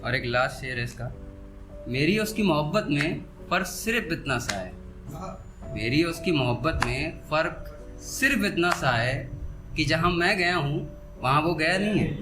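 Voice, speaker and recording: A man with a slightly high voice recites poetry as a stage performer. He repeats his couplets and sets them apart with deliberate pauses. Instrumental music plays clearly behind him. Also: lively intonation. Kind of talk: live Hindi-Urdu poetry recital with spoken asides. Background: soft instrumental background music.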